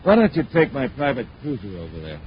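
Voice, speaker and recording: An actor playing a scheming, smoothly courteous company boss in a 1950s radio adventure serial. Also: male voice, mid-range voice, wildly swooping pitch, fast talker, slight background noise. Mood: ingratiating. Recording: muffled 1950s radio recording.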